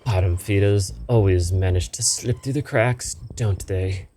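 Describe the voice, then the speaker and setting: A man's voice: deep voice, measured pace, mellow, a little hiss or hum. A man voicing an eerie creature that poses as a person, cold, condescending and mock-hurt, in a game read-aloud with music behind him. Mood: cold, sneering. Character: eerie male creature posing as a person, coldly taunting. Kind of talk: visual novel character read-aloud.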